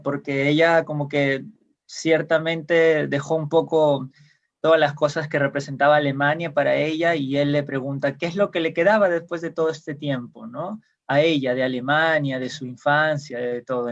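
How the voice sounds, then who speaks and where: A man's voice: medium pitch, creaky, highs cut off. A man from Peru, a guest explaining ideas in casual conversation, hesitant with many fillers and restarts, heard over a video call.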